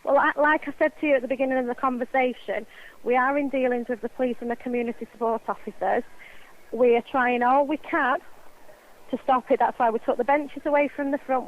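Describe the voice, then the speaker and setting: A woman with an English accent handling a complaint on the phone as store staff, explaining insistently and repeating her points. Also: on the high side. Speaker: supermarket staff taking a complaint call. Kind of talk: customer service phone conversation. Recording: muffled lo-fi phone line.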